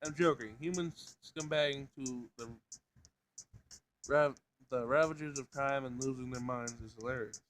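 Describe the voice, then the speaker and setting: A man voicing a blunt, literal-minded robot in a schoolgirl's body, formal and deadpan, in a visual novel. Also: mid-range voice, unhurried, a little hiss or hum, lightly acted. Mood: deadpan joking.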